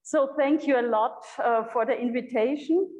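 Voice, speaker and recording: A woman with a German accent gives an unscripted lecture in her second language. Her voice sits slightly high, and she halts often, reaching for words with little fillers. The room around her is echoey. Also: darkish tone. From Europe. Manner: halting, searching for words, frequent fillers. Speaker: guest lecturer. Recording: echoey hall.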